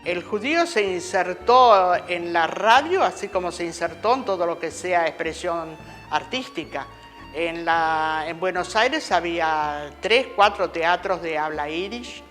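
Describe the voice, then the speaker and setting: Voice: mid-range voice, animated, measured pace, fairly bright tone, faint music behind. Speaker with long hall reverb. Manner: informative, listing, reminiscing. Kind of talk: interview talk on Yiddish theatre.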